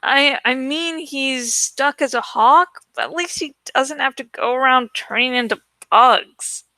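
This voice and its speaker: An exasperated teenage boy from a storybook, voiced by a woman. The voice is high and close in a dry space. He complains haltingly, as if dragged into things. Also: bright, forceful tone, no echo, exaggerated voice. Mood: weary. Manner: halting, plaintive.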